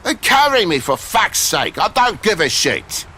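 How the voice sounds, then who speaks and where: A man's voice: high voice, very animated, a little slow, sharp tone, a little hiss or hum, exaggerated voice. An actor playing a blunt, aggrieved male grumbler in a noir video game, complaining and swearing. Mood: irritated shouting.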